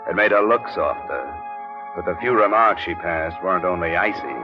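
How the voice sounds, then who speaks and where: Male, hardboiled radio detective, played by an actor: low, clipped, sardonic delivery on a dull vintage recording. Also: normal speed, over music, close to an everyday voice. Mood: dry narration. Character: tough, wry private investigator narrating his case. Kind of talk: 1950s radio detective drama acting.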